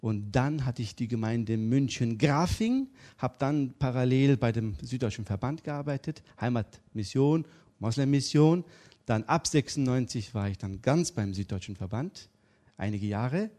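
Joking middle-aged preacher from Turkey. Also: male voice, mid-range voice, measured pace. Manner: humorous, lively storytelling. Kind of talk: interview and sermon.

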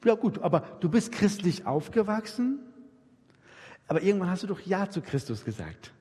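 Guy coaching a church workshop, really animated up and down voice, keeps checking in, big echoey hall. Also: high-pitched, normal speed, husky. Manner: coaxing, emphatic, repeats himself, rhetorical check questions. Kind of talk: interactive church workshop teaching. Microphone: microphone for a live recording. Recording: reverberant hall with long echo.

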